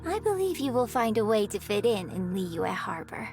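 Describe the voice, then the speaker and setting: An actress playing a graceful young opera singer in a game, speaking with refined, gentle confidence. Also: female voice, mid-range voice, big pitch swings, normal speed, music in the background. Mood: warm. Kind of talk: game voice acting.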